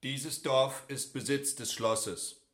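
A man's voice: mid-range voice, steady tone, slow, fairly bright tone. An actor playing a scornful, officious young man, a castle steward's son, in a radio drama. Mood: cold, officious. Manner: haughty, reprimanding. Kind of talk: German radio drama acting.

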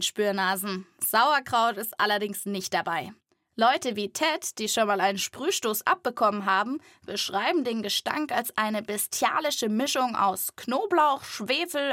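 A woman with a fairly high voice narrates for young listeners. She tells the story playfully and vividly, as a children's podcast host. The recording is close and dry. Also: expressive, measured pace, fairly bright tone, no echo. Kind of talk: scripted narration addressed to children.

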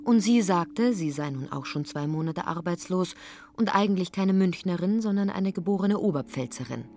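A woman's voice: lowish voice, animated, moderate pace, darkish tone, slight background noise. A woman narrating a literary radio drama in scripted prose. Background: music.

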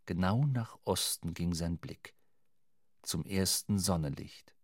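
A man narrating a literary audio drama in a measured, dramatic storytelling manner. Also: sits low, no room sound, clean studio sound.

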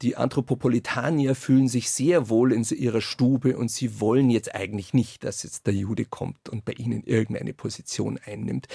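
A man's voice: mid-range voice, creaky, mellow, slightly rough, no room sound, studio quality. A man with a Bavarian accent giving conversational interview answers as a radio play author.

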